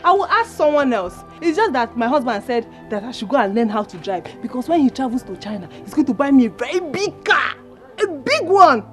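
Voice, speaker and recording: A woman with a Nigerian accent playing an excitable young wife who giggles and brags. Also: high voice, fairly quick, over music. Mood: pleading. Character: giddy young wife bragging about a car.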